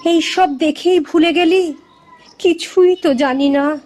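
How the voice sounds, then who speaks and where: A woman's voice: very high voice, steady tone, takes it slowly, darkish tone. An actress voicing an anxious, long-suffering old village mother in a fairy-tale cartoon, fretful and pleading. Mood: alarmed, pleading.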